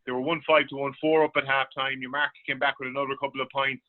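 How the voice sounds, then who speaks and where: A man's voice: medium pitch, level tone, fast. An Irish man, a sports journalist, recapping a match in a radio sports discussion. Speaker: sports journalist, guest pundit. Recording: thin phone-line radio sound.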